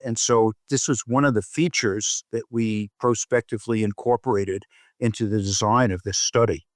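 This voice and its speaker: Measured man explaining research, dry close sound. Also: on the low side, fairly even intonation, no room sound. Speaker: physician researcher, interview guest. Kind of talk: explaining study findings in a medical interview.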